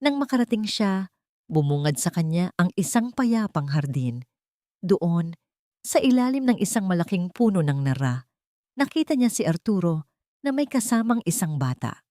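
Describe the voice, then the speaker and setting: Low-voiced Filipino woman narrating drama. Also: sing-song, normal speed, dark tone, no room sound, studio quality. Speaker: audiobook narrator. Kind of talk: scripted dramatic story narration.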